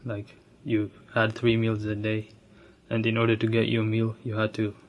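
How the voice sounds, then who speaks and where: Flat-voiced young man from Ethiopia. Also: lowish voice, normal speed, a little hiss or hum. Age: young adult. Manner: soft-spoken and reflective, with frequent restarts and light laughs. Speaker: interviewee sharing his immigrant story. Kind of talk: personal storytelling.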